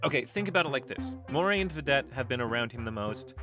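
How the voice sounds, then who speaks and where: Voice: medium pitch, animated, quick pace, bright, forceful tone, soft background music. An actor playing an anxious but reasonable young traveler in a sci-fi audio drama, questioning and placating.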